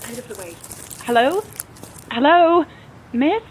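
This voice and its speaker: A woman's voice: high-pitched, big pitch swings, slow pace, full character voice. A woman playing an urgent, polite employee pleading formally over a gate intercom in an audio horror drama. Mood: urgent, insistent. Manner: formal, insistent. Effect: intercom filter. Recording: muffled, noisy intercom speaker.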